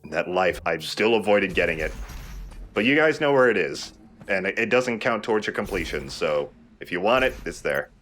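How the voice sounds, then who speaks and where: Low-voiced man doing game commentary. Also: lively intonation, moderate pace, fairly bright tone, faint music behind. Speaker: let's play host and player.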